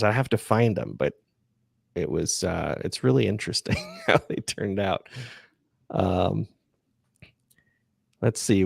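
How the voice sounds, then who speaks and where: Male podcast host, low gravelly voice, close dry sound, halting and unassuming in casual Q&A. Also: no room sound. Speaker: podcast creator and livestream host. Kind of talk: casual chat, answering listener questions.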